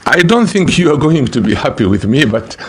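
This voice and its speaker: A man's voice: medium pitch, big pitch swings, brisk, breathy edge. A man from Africa giving a public talk as a lecturer, emphatic and humorous, repeating phrases for effect. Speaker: university lecturer.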